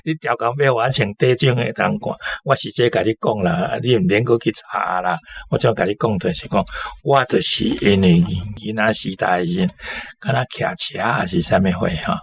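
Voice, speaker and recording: A man's voice: fairly low pitch, normal speed, gravelly, few pauses. A man giving casual, chatty commentary on stories for a radio programme.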